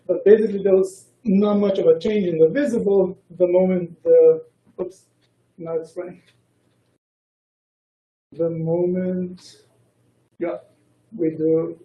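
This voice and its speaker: A man giving an academic lecture as a researcher, speaking haltingly and often correcting himself mid-word. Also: a little high, dark tone. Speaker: researcher giving a colloquium talk. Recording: muffled, echoey room, distant mic.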